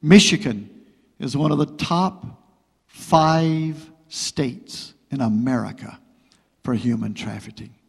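A middle-aged man preaching a sermon to a congregation, repeating phrases and building to loud, rising exhortation. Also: medium pitch, slow pace, vocal fry, breathy edge. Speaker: guest preacher. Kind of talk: pentecostal sermon with prayer. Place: church sanctuary. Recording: long reverb of a church hall.

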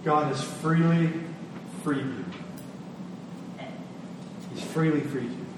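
A man preaching a sermon as a pastor, speaking deliberately with emphatic repetition and pauses. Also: a little high. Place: large reverberant room, live church service. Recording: echoey hall, distant mic, noisy.

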